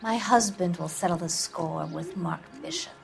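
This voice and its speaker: A woman's voice: lowish voice, normal speed, vocal fry. A vain film actress who flirts with the detective and brushes off her fan. Her talk is lazy and teasing. Faint music runs behind her. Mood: flirtatious. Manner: flirtatious, dismissive, slightly drowsy. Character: faded film actress woman, flirtatious and haughty. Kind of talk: video game cutscene acting.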